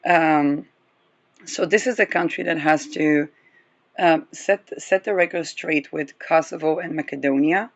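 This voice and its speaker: Slow, gravelly-voiced astrology reader. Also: mid-range voice, lo-fi sound. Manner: rambling, chuckles often between remarks. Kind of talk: casual unscripted astrology talk.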